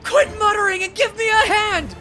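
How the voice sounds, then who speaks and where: Woman playing a very high-pitched earnest young officer. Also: fairly even intonation, moderate pace, bright, forceful tone. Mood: strained, exasperated. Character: earnest, excitable young police officer, righteous and exasperated. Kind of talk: anime fan dub acting. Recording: voice over background music.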